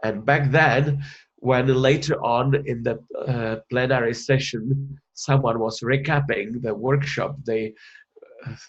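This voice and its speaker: A man's voice: mid-range voice, fairly even intonation, takes it slowly, dull audio. A man from the Republic, presenting research in an online webinar, hesitant with many fillers and restarts. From Czech Republic.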